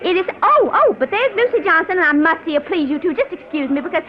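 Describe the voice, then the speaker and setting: An actress playing a fluttery, effusive society hostess who scolds playfully and coyly, in old radio drama style. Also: female voice, very high-pitched, lively intonation, quick pace. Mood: gushing, flustered. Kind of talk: 1940s radio acting. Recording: dull, faintly noisy old radio recording.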